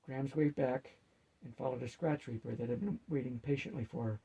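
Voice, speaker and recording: A man with a husky voice reading fiction aloud as an expressive storyteller. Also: mid-range voice, fairly quick, muffled recording. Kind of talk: expressive audiobook-style narration with character voices.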